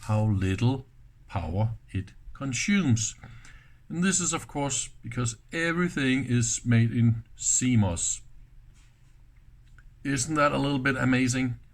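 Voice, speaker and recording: A man presenting a casual hands-on demonstration, speaking in halting pieces and often checking in with short questions. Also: mid-range voice. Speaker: presenter of electronics teardown videos.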